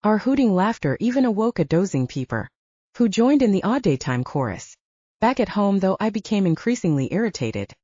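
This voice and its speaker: A woman narrates first-person fiction in a mellow, slightly low voice. Her intonation is animated throughout. The recording is dry and a little dull. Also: fairly quick, no room sound. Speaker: audiobook narrator.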